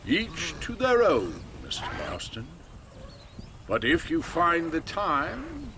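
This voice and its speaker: A man's voice: fairly high pitch, huge pitch swings, takes it slowly, sharp tone, slight background noise, heavily acted. An actor playing an eccentric male film director in a video game, speaking in a theatrical, fussy and gushing way. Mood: persuasive. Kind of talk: video game cutscene acting.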